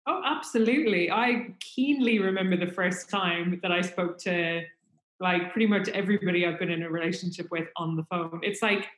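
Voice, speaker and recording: A woman's voice: on the low side, moderate pace, fairly bright tone, roomy sound, slight background noise, rough recording. A woman with an Irish accent chatting casually and at length, with plenty of fillers. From Ireland. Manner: chatty, rambling with many fillers. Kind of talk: casual chat.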